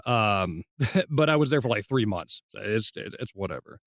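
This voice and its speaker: A man's voice: fairly low pitch, expressive, normal speed, a bit bright, no room sound, clean studio sound. A man hosting a podcast in a casual, conversational way, playfully acting out the lines he quotes. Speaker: podcast host. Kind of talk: casual interview chat.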